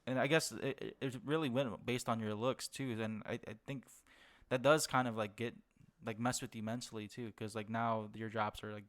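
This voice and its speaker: A man's voice: mid-range voice, steady tone, moderate pace, a bit bright. A male podcast host asks his questions in a loose, casual way. He stumbles and restarts often, piling up fillers while he hunts for the right word. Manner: hesitant, many fillers and restarts, searching for words. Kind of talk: casual interview.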